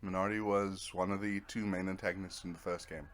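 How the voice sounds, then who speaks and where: A man's voice: low-pitched, fairly even intonation, a little fast. A man giving casual, rambling commentary over a video game, with a dry, self-deprecating sense of humor. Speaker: video game let's player.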